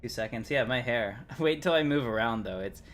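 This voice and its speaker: A man's voice: mid-range voice, expressive, moderate pace, a bit bright, slight background noise. A man streaming a game, talking casually in a rambling, informal way. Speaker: game livestreamer. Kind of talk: casual livestream chat and commentary.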